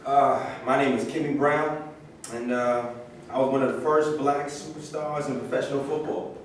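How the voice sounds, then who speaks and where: A man playing an old former football superstar, halting and hesitant, in a staged reading. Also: medium pitch, steady tone, natural voice. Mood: halting, reflective. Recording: echoey room, far mic, faint noise.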